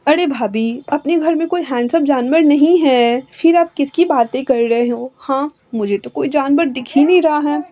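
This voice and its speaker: A woman's voice: high-pitched, normal speed, hardly any pauses, mellow, no room sound, muffled recording, pitched above the performer's own voice, close to an everyday voice. A woman voicing a teasing young man who jokes at others in a storybook reading. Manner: teasing, laughing.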